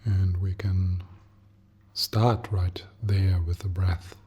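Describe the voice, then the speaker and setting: Low, husky man guiding a meditation. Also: flat delivery. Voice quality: soft, breathy, husky. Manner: gentle, unhurried, with short phrases and long pauses. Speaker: meditation teacher. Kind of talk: guided meditation.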